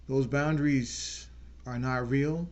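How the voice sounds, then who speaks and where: A man hosting his own channel, giving an earnest unscripted political talk and slowing down to stress key words. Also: mid-range voice, steady tone, darkish tone. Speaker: channel host.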